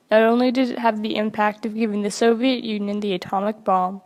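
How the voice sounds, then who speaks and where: A woman's voice: fairly high pitch, darkish tone. A woman reading scripted narration aloud as a student presenter.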